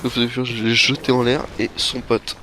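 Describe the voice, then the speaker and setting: A young man talks through a video game into a headset mic, stuffed up from a head cold. His delivery rambles and stalls often, and his voice sits at an average pitch for a man. A faint hiss runs under the recording. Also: low-quality recording. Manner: rambling, halting, relaxed. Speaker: gameplay commentator. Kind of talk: game walkthrough commentary. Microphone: headset microphone.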